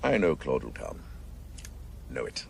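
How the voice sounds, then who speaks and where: An actor playing an obsessive, theatrical senior policeman in a radio drama, gravelly and sardonic, savouring his grandiose words. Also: very deep voice, huge pitch swings, a little slow, a little mellow, a little hiss or hum, full character voice. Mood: sardonic, brooding.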